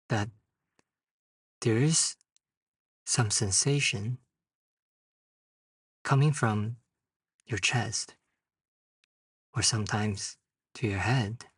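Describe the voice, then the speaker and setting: A man leading a guided meditation, speaking in short, unhurried phrases with long pauses. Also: medium pitch, slightly rough. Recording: distant mic in a roomy, echoing space.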